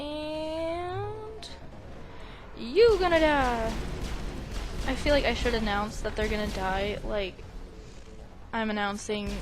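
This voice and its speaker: A woman doing casual, rambling gameplay commentary in a playful, giggly way as the host of a gaming video. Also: a little high. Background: game sound.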